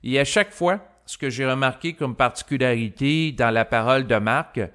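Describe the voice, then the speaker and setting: Halting Québécois man, reflective monologue. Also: mid-range voice, a bit bright. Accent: Québécois French. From Quebec, Canada. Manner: halting, roundabout, with frequent hesitations and long lead-ins. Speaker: host of spiritual self-help recordings. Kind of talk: unscripted reflective monologue to listeners.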